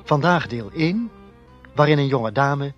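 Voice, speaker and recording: A man's voice: medium pitch, animated, a little slow, muffled recording, soft background music. A man announcing a radio drama serial in a scripted style.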